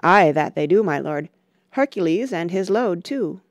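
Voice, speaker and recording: A woman acts a deferential young courtier in a Shakespearean drama. The voice is lowish with a clear creak, set close in a dry recording. The delivery is measured, like someone passing on court gossip. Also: expressive, no echo. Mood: gossipy.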